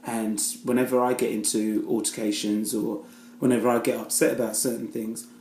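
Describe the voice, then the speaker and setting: A man's voice: mid-range voice, little pitch movement, moderate pace, darkish tone, reverberant, a little hiss or hum. A British-born man giving a casual, rambling spoken review, full of fillers and restarts. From Britain. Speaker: film reviewer.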